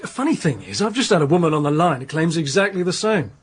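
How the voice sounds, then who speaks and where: A man's voice: on the high side, very animated, quick pace. An actor playing an eager, deferential male junior police officer with an informal way of talking in a radio drama.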